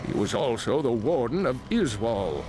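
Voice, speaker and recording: An actor playing a grave old scholar who narrates video game lore in a measured, formal, gravelly storytelling voice. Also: male voice, mid-range voice, big pitch swings, background noise, lightly acted.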